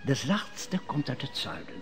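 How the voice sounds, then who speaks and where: A man's voice: mid-range voice, expressive, a little slow, fairly bright tone, faint music behind. An actor playing a scheming male court elder who speaks in sly riddles, in an old radio play. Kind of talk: 1950s Dutch radio drama acting.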